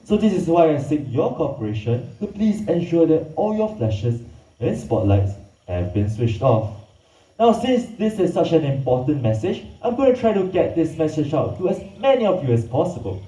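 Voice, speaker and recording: A man's voice: a little high, big pitch swings, mellow. Theatrical man echoing over an amphitheater PA. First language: Mandarin. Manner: theatrical, drawn-out, hypes the crowd. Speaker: animal show presenter. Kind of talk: live show hosting and dramatic narration. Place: outdoor amphitheater at night. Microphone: PA system heard from the audience. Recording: echoey PA sound from far off.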